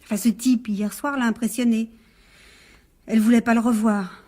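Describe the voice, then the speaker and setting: An actress plays a defiant young woman in a radio mystery. Her voice sits quite high, and she answers back with cheek, as someone who will not be pushed around. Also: steady tone, warm tone, lightly acted. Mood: evasive, explaining. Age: young adult. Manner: impudent, quick to retort. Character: cheeky, defiant 21-year-old woman with a muddled alibi. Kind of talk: French radio crime drama acting.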